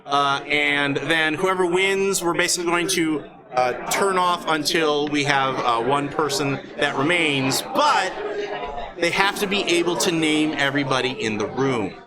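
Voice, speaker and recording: A man with halting, hesitant delivery, improvising in character as game master of a live tabletop game. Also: fairly high pitch. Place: large convention hall, before an audience. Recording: live convention hall with loud crowd.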